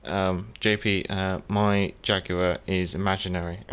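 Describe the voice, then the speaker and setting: Deep-voiced South African streamer. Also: male voice, dull audio. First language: Afrikaans. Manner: chatty, reads out and answers viewers by name. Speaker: gaming livestream host. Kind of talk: casual livestream commentary while playing, answering chat.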